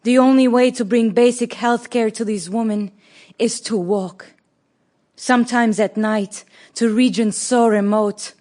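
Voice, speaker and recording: Measured, grave Afghan woman health worker played by an actress. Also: mid-range voice, darkish tone, natural voice. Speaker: actress in an audio play. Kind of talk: dramatic monologue, radio theatre.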